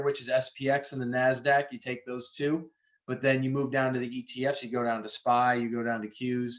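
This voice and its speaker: A man with a medium-pitched voice hosts a call for traders. He talks in an informal, conversational way, like live commentary, and often circles back to rephrase what he is explaining. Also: fairly bright tone. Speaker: trading call host, trader.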